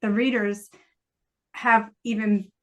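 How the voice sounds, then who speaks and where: A woman's voice: fairly high pitch, level tone, slow. An American woman, a novelist, talking casually as a guest on a podcast. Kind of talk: casual interview chat.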